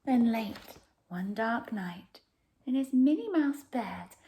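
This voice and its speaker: Slow, animated woman reading a picture book. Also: a little high, slight background noise. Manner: dramatic, with pauses and hushed emphasis. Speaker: storyteller. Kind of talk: expressive children's picture book narration.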